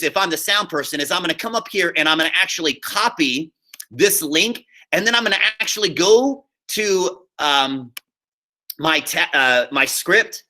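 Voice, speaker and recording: An American man with a fairly high, very bright and forward voice teaches a casual online class. He talks like a chatty high school teacher, walking through steps on screen and checking in often. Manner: chatty, checks in often with okay and all right. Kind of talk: casual instructional screen-share lesson. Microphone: computer mic on a video call.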